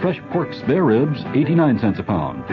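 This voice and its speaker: A man's voice: medium pitch, wildly swooping pitch, normal speed. A man announcing a 1970s radio commercial with punchy delivery. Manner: punchy price listing. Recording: dull old radio broadcast. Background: music with guitar.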